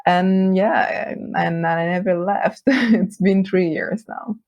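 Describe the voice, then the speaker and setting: A woman with an Italian accent whose first language is Italian, chatting as an interview guest, friendly and quick to laugh. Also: lowish voice, fairly even intonation, darkish tone, no room sound, highs cut off. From Italy. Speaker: guest, brand designer. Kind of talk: casual interview chat.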